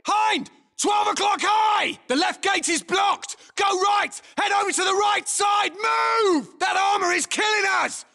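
A British soldier yells orders in a game in a gravelly, very high voice. The tone is bright and sharp as he shouts over the fight. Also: unhurried, full character voice. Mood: shouting. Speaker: special forces soldier. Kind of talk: video game voice lines.

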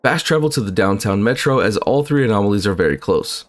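Fast-talking man narrating a game guide. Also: on the low side, animated. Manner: brisk, instructive, matter-of-fact. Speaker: gaming channel host. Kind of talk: walkthrough narration.

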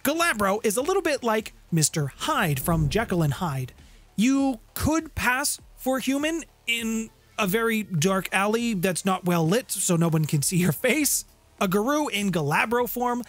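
Middle aged guy doing a game lore explainer, playful and kinda theatrical, close mic, bit of music behind. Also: high voice. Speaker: host of a tabletop game lore channel. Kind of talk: scripted explainer to camera. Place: home room with guitars, indoors. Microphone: close studio condenser mic with pop filter. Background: background music.

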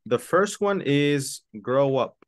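A man teaching an online English lesson, switching between English and Spanish and repeating key words slowly for his students. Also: a little high, lively intonation, no echo, clean studio sound. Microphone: video call webcam.

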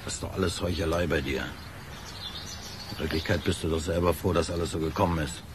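Low-voiced, brusque, defensive workmate. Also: male voice, fairly even intonation, brisk, noisy background. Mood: defensive, irritated. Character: defensive, gruff, brusque male workmate dodging guilt. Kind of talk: radio drama acting.

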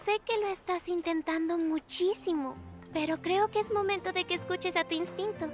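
A woman's voice: very high voice, moderate pace, over music. A woman voicing a supportive, encouraging young girl in a children's cartoon, earnest and reassuring. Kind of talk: animated children's cartoon dub.

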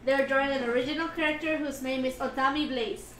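High-voiced young woman in a roomy space. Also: steady tone, fast talker, a crowd in the background. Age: young adult. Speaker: co-host reading viewer comments aloud. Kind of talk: casual bilingual livestream chat. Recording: roomy echo, music behind. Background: background music.

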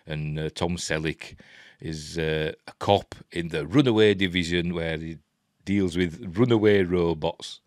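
Very deep older Yorkshire man. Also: measured pace, darkish tone, no room sound, studio quality. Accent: Yorkshire English. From Yorkshire, England. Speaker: host of a film and TV news vlog. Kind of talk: casual news commentary to camera. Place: small cluttered room at home, indoors.

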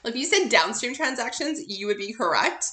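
A woman's voice: on the high side, sing-song, brisk, pushed and bright. A woman instructor giving a recorded lesson, explaining things in a conversational way with informal asides. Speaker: accounting course instructor. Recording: roomy, echoey sound.

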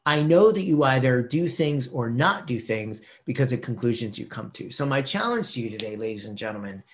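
Animated middle-aged man telling a story. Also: medium pitch, brisk. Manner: animated, gesturing storyteller acting out quotes. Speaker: vlog host. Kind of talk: storytelling to camera. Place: small home room with bookshelf.

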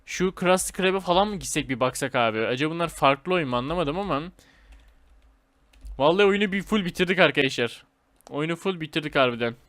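A man hosting a gaming video with casual, excited commentary, speaking in slangy, repetitive phrases and addressing viewers as friends. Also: on the high side, no echo.

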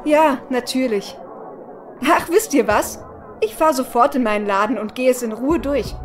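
An actress as a prickly, short-tempered woman hacker in a radio play. Her voice is high and snappy, with music behind her. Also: normal speed, lightly acted. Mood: brisk. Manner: snappy, exasperated. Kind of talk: German audio drama acting.

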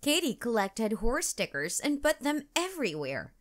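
A woman reading a children's storybook aloud in an expressive, deliberate storytelling manner. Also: a little high. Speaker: storytime channel narrator. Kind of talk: children's book read-aloud.

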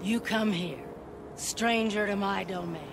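A wary, menacing stronghold leader. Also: male voice, high-pitched, measured pace, gravelly, background noise. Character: hard-bitten male stronghold leader.

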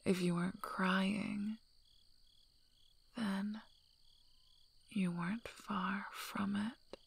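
A tough female werewolf alpha, acted by a woman in an ASMR roleplay, speaking softly in a husky, breathy near-whisper. She is slow and gravelly, dryly teasing and gruffly protective. The tone stays very level. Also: medium pitch, fairly even intonation, exaggerated voice. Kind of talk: soft-spoken ASMR roleplay.

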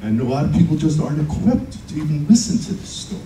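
A man enthusiastically pitches a project to a conference audience. His voice is very mellow and warm. He is heard from a distance in a reverberant room with faint noise. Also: big pitch swings, fast talker. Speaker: panel presenter, filmmaker. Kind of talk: presentation to an audience. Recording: roomy conference hall audio from a distance.

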